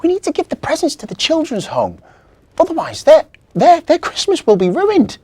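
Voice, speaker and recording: A woman's voice: high voice, wildly swooping pitch, normal speed, darkish tone, no echo, voice pushed up. A woman voicing an eager, caring little girl with an emphatic, urgent manner in a children's story.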